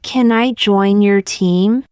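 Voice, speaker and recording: A woman reading short practice sentences aloud for learners, saying each one twice, slowly and carefully. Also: medium pitch, darkish tone, clean tone, dry recording, studio quality. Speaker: English teacher.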